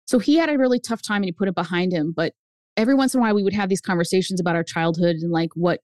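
A woman in her fifties whose first language is Spanish, telling her family story as a guest author, animated and jumping up in pitch for emphasis. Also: rapid-fire, dry recording, studio quality. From United States. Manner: animated, swings up high for emphasis. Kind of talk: personal storytelling in an interview.

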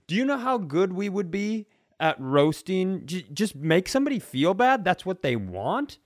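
A man's voice: sits high, very animated, normal speed, dry recording, clean studio sound. A man co-hosting a comedy podcast, chatting casually and acting out imagined quotes as he tells stories.

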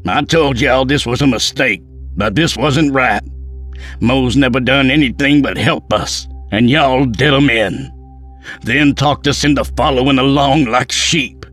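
A man's voice: mid-range voice, unhurried, a bit bright, soft background music, voice pushed up, natural voice. A man voicing a remorseful Southern townsman who speaks in an earnest, pleading way. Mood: angry.

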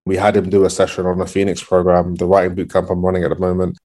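A man's voice: low-pitched, monotone, fast, dark tone. A man in his twenties answering as an interview guest, explaining his views in a thoughtful, conversational way. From Congo. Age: 20s.

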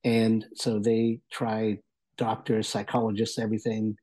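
Guy with a dark husky voice doing a laid back review, kinda halting and thoughtful. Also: lowish voice, monotone. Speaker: guest reviewer. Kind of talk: casual spoken review.

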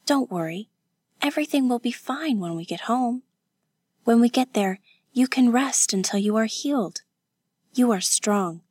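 Young female audiobook character, played by a woman narrator: gentle, earnest, pleading, with a raised pitch. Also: animated, a little slow, dry recording. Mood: tender concern.

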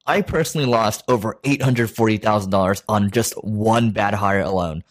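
A man's voice: on the low side, moderate pace. A man hosting a business interview podcast, talking conversationally with small restarts. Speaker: podcast host. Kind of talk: interview hosting and sponsor read.

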